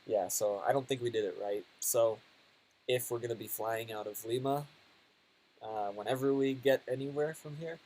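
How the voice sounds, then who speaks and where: Relaxed man chatting on a live stream. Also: medium pitch, moderate pace, a little hiss or hum, low-quality recording. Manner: relaxed, hesitant, frequent fillers and laughs. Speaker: travel vlogger hosting a live stream. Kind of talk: casual live-stream chat reading viewer questions.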